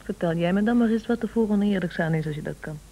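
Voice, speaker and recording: Een actrice in een Nederlands hoorspel speelt een sluwe, praktische echtgenote die bazig en vleiend aandringt. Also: female voice, medium pitch, quick pace, dark tone, natural voice. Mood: eager, insistent. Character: shrewd, scheming, persuasive wife, practical and bossy. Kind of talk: Dutch radio play acting.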